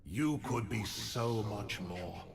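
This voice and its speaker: A man's voice: lowish voice, vocal fry, crowd noise. A man playing an ancient, arrogant evil emperor in a video game, speaking with serene, condescending menace. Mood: mocking, calm. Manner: slow, deliberate, condescending. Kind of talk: video game villain acting. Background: music.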